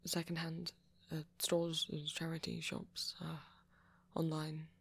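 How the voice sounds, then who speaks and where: A man playing a shy, apologetic young vampire in an ASMR roleplay, with a posh British accent and a hesitant, stammering, soft-spoken way of talking. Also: a little high, completely flat delivery, takes it slowly, creaky, slightly rough, full character voice. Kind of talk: intimate ASMR roleplay audio.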